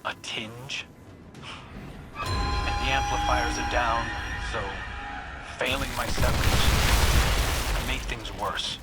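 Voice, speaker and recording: A man's voice: medium pitch, steady tone, slow, long pauses, loud music behind the voice, close to an everyday voice. Terse, brooding young warrior played by a man. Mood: defensive. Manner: terse, bristling. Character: defensive, brooding young male warrior, wounded pride. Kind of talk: video game dialogue.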